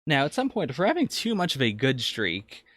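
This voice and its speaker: A man's voice: mid-range voice, huge pitch swings, brisk, fairly bright tone, dry recording. Guy on a podcast talking through a review, kinda hesitant and rambly, very dry sound. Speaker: podcast co-host. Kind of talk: casual review discussion.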